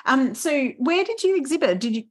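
A woman's voice: high-pitched, sing-song, brisk. A woman hosting a podcast interview, talking casually and enthusiastically and laughing often. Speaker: podcast host.

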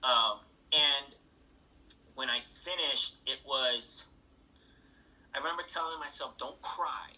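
Bright, forceful man telling stories. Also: mid-range voice, slow, pauses often, poor audio. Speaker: interviewed author and thru-hiker. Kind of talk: casual storytelling in a video-call interview. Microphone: video call played through a laptop speaker.

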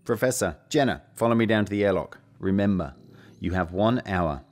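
An actor playing a decisive, wry spaceship captain in an audio drama. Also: male voice, low-pitched, lively intonation, fairly quick, darkish tone. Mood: commanding. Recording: faint noise behind the voice.

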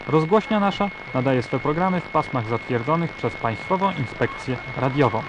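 A man's voice: mid-range voice, lively intonation, moderate pace, lightly acted. A man playing a formal, official radio news announcer in a parody bulletin. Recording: very noisy radio broadcast.

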